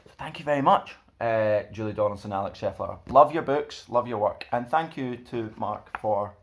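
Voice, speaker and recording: A young man hosting a children's storytime, reading a picture book aloud in an expressive way. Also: lowish voice, moderate pace, a little hiss or hum. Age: young adult. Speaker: storyteller, host.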